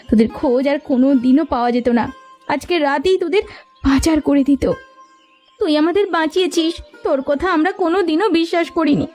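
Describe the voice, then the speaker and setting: Plucky young village heroine for a cartoon, female actor: high pitch, rounded dark tone, earnest and resolute. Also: lightly acted. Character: bold, determined young village girl and her sisters. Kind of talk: cartoon story dubbing. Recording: music behind the voice. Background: background music.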